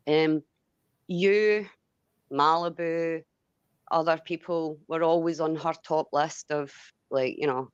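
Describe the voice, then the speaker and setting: Scottish woman ranting casually, sweary and rambly, lowish voice, dull lo-fi dry audio. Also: clear, resonant tone, no room sound. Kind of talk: casual heated venting chat.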